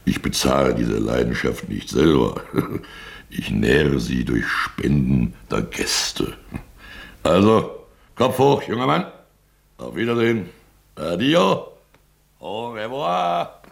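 A boozy, eccentric disbarred lawyer in a radio drama. His voice is extremely low and full of gravelly creak. He rambles theatrically, mocking himself with dry wit. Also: sing-song, takes it slowly, pauses often, exaggerated voice. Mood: jaunty farewell. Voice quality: very deep, gravelly. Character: Lebedew, tipsy disbarred lawyer, eccentric, wryly self-mocking. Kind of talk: German radio play acting.

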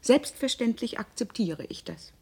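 A woman's voice: fairly high pitch, sing-song, normal speed, darkish tone, slightly rough, exaggerated voice. An actress in a German radio drama playing a cool, calculating middle-aged wife and mother, controlled and pointed in delivery. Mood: grave, restrained.

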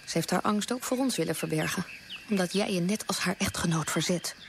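A woman's voice: lowish voice, a little fast, vocal fry, background noise. A woman playing a loyal, worried but firm wife of a colonial official in a radio play. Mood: grave, fearful. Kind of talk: Dutch radio play acting.

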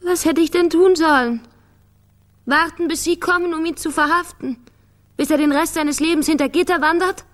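An actress playing a bitter, defiant grown daughter in a German radio crime drama, curt and controlled. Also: female voice, extremely high pitch, normal speed. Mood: defiant, anguished.

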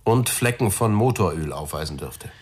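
Low-voiced, precise forensics man. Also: expressive, moderate pace, a little mellow, slightly rough. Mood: measured, confident. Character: male police forensics expert, precise, slightly showy. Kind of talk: German radio crime drama acting.

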